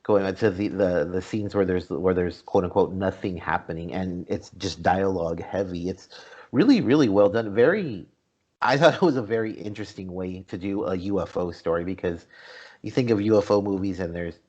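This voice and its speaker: A man's voice: low voice, normal speed, a little mellow, highs cut off. A man talking casually as a podcast host, discussing a film in a conversational way.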